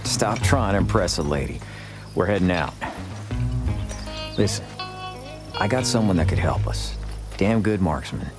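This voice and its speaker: A cool Old West gunslinger for a video game. His voice is deep, his delivery wry and laconic. Music sits behind the dialogue. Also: sing-song, measured pace, long pauses. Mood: businesslike. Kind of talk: video game cutscene acting.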